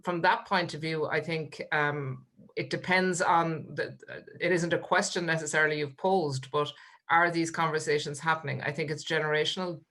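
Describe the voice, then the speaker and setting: Low-voiced woman, pausing. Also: a bit bright. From Ireland, Kerry Gaeltacht. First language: Irish. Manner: measured, pausing, frequent ums and uhs. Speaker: trade union leader, panel guest. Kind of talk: webinar discussion. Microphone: video call.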